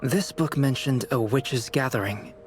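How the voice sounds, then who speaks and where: A calm, scholarly young alchemist, voiced by a man lays out his theories in a measured, analytical way. His voice sits at an ordinary, mid-range pitch. Game music plays softly behind him. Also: lightly acted. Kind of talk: game cutscene voice acting. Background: background music.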